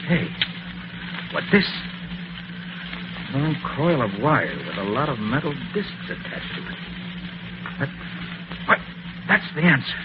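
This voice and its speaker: An actor playing a quick-witted, decisive male detective in an old radio drama. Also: fairly high pitch, sing-song. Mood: excited. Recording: muffled, noisy old radio recording.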